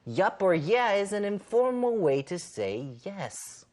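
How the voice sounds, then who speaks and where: A woman's voice: mid-range voice, big pitch swings, takes it slowly, a little mellow. A woman co-hosting an English lesson, explaining simply from a script with careful, emphatic enunciation. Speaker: co-host of an English lesson show.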